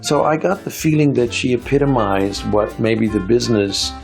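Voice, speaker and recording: A man giving a documentary interview, recalling a singer with a measured, admiring manner. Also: medium pitch, gravelly, darkish tone, faint music behind.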